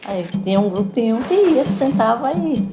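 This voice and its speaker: A woman's voice: a little high, expressive, moderate pace, background noise. A woman with a Recife Brazilian accent giving informal spoken testimony as a member of the community. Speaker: community member recounting memories. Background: music.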